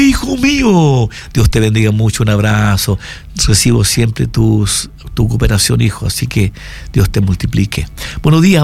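A man's voice: gravelly, slightly rough. A male pastor, chatting casually and blessing listeners by name on a church broadcast. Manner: warm, blessing people by name with rising pitch. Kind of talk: casual chat, reading greetings on a church broadcast.

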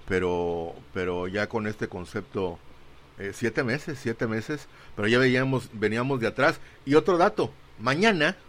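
A man co-hosts a radio show. His voice is middling in pitch. He talks casually about sport, in the way of someone chatting with a colleague on air. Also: fairly bright tone.

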